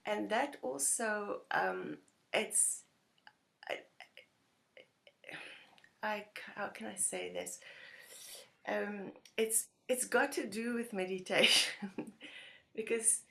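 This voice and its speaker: Gravelly-voiced woman groping for words. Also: medium pitch, fairly bright tone. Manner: searching for words, frequent restarts and laughs. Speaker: participant. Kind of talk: casual sharing.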